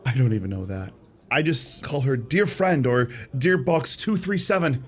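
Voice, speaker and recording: An actor playing an earnest, romantic and easily exasperated shop clerk in a radio play. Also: male voice, medium pitch, lively intonation, normal speed, close to an everyday voice. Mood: nervous, dreamy. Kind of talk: radio theatre acting.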